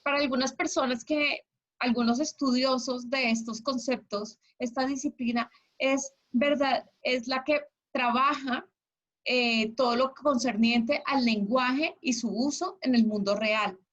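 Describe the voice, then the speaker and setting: Higher-voiced Colombian woman teaching online. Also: fairly even intonation, a little slow, a bit bright. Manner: encouraging, checks understanding with questions. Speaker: language teacher leading an online class. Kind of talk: interactive lecture. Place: online video class with slides. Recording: dull, lo-fi online class audio.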